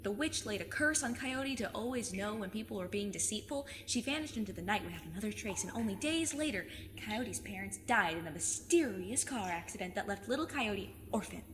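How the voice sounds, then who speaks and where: A woman in an audio drama playing a plucky, stubborn fifteen-year-old girl who speaks emphatically and earnestly, quick to get defensive. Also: mid-range voice, lively intonation, a bit bright, lightly acted. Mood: dramatic storytelling. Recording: long cave reverb, faint noise.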